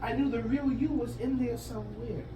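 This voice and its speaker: A woman voicing a sweet, kindly old woman in a storybook, bright and gently chiding in manner. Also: fairly high pitch, steady tone, normal speed, lightly acted. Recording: roomy, noisy, distant microphone.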